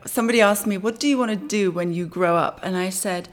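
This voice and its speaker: A woman's voice: medium pitch, quick pace. A woman in her thirties with a London accent chats through her life story as a podcast guest. Her telling is loose and conversational, full of fillers and little laughs. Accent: British, London. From born in Iran, grew up in London. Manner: chatty storytelling, laughs often. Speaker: guest actress. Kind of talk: casual podcast interview.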